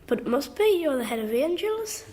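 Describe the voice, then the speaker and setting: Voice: high voice, very animated, brisk, a little mellow. A performer playing an eager, naive working-class schoolboy in a radio drama, with a Geordie accent and an earnest, questioning way of talking.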